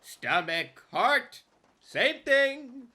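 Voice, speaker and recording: An actress playing a morbidly merry, eccentric old-timer in a radio drama, theatrical and singsong in delivery. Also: female voice, high voice, sing-song, very slow, sharp tone, husky, full character voice. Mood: blunt, excited.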